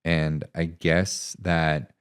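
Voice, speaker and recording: A man narrating and reflecting on his own writing in an unhurried, thoughtful way. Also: rumbling low, little pitch movement, smooth. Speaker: author reading own short story. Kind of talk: scripted narration and casual reflective talk.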